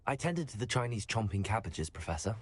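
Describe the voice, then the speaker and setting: A man's voice: fairly low pitch, very animated, a little fast, no room sound. A man playing a polite, eager teenage student wizard in a video game, courteous and earnest. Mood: polite, curious. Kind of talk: video game character acting.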